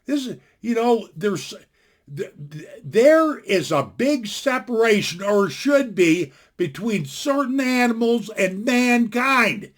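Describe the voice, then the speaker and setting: An older man in his sixties with a fairly high-pitched voice speaks from his home into a desktop computer microphone. He talks in a blunt, folksy, opinionated way, rambling and restarting his sentences as he goes. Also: expressive, slow pace. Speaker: vlogger. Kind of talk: casual opinion rant to camera. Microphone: desktop computer mic.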